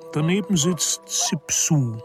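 An actor as a detached literary narrator in a radio drama, reading scripted narration in a measured, descriptive way. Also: male voice, a little high, lively intonation, over music. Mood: calm.

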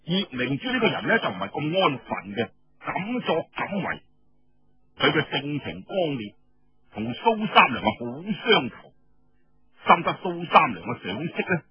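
A man with a Cantonese accent telling a story in an expressive, colloquial oral storytelling style, with rhetorical asides. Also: a little high, unhurried, husky, no room sound, lo-fi sound. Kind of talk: dramatic traditional oral storytelling narration.

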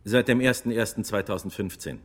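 An actor playing a retired army lieutenant testifying in a radio drama courtroom, husky and matter-of-fact. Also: male voice, on the low side, fairly quick, lightly acted. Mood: matter-of-fact, earnest.

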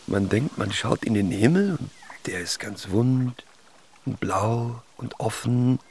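A man playing a reticent, secretly poetic teenage boy in a naturalistic radio play, talking in a quiet, hesitant, thoughtful way. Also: medium pitch, slow pace, a little hiss or hum. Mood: musing.

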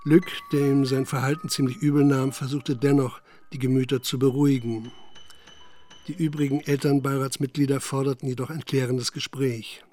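A man narrating a radio play in a measured, matter-of-fact literary style. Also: medium pitch, flat delivery, dark tone, no room sound, lightly acted. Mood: measured, sober. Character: sober male narrator recounting a village scandal.

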